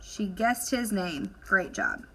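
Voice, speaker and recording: A woman teacher leading an instructional lesson for children, asking questions, encouraging answers and dictating deliberately as she writes. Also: medium pitch, expressive, fairly bright tone, slightly rough, low-quality recording. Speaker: elementary school teacher.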